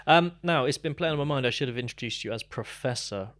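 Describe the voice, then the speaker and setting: A man's voice: mid-range voice, quick pace, a bit bright, no echo. A man hosting a casual interview, asking informal questions that he tentatively rephrases as he goes. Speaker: interviewer, podcast host.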